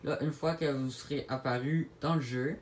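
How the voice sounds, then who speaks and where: A man with a Québécois French accent giving a casual tutorial to viewers, halting and repeating his words as he goes. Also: medium pitch, steady tone. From Quebec, Canada. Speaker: gaming video creator. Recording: clear background noise, home-made recording.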